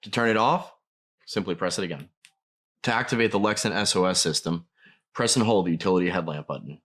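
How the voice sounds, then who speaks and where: A man's voice: low voice, brisk, a bit bright. A man narrating a scripted product tutorial, explaining each step plainly as a demonstrator.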